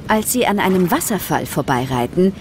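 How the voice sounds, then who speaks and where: A woman's voice: on the low side, big pitch swings, a little fast, background noise. A woman narrating a scripted children's story for an audio play. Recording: music under the voice.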